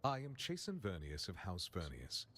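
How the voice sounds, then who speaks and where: An actor playing a proud, formal envoy of a noble house in a video game, speaking in a measured, stately way. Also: male voice, low-pitched, wildly swooping pitch, a bit bright, exaggerated voice. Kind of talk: scripted game dialogue.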